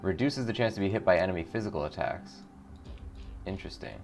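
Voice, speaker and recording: A man's voice: low voice, lively intonation, quick pace. A man giving casual, enthusiastic game commentary as a show host, speaking informally and reading on-screen text aloud. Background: faint music.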